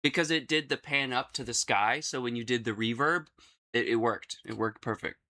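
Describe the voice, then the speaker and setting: A man chatting casually and jokingly as he reacts to a video, excitable, slangy and prone to swearing. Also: mid-range voice, moderate pace.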